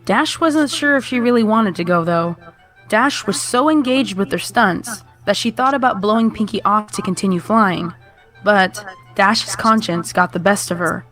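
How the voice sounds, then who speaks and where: A woman's voice: mid-range voice, normal speed, fairly bright tone. A woman in her twenties narrating a scripted story as a reader of fan fiction. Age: 20s. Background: background music.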